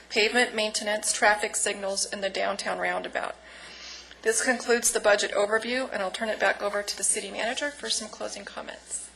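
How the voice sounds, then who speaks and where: A woman's voice: medium pitch, bright, forceful tone, slight background noise, rough recording. A woman on city staff gives a businesslike budget overview to a council, reading through lists of fees and projects. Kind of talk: budget presentation at a council meeting.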